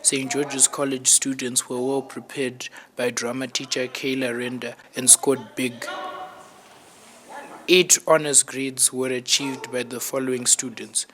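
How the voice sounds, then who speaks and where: A man reads a scripted report. His tone is bright and forceful, and his intonation stays fairly flat. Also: mid-range voice, a little slow, poor audio. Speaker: newsreel reporter. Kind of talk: scripted news narration.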